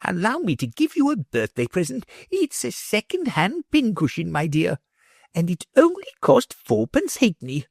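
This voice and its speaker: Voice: mid-range voice, wildly swooping pitch, normal speed, vocal fry, breathy edge, no echo, studio quality, voice pushed up, exaggerated voice. Fussy old professor guy, nervous and meek, reader puts him on, voice jumps around a lot. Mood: flustered. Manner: hesitant, flustered, fussy.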